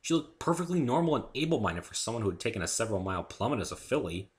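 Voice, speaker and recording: A man narrating a first-person story as an expressive dramatic reading. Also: mid-range voice, racing. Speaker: amateur narrator and author.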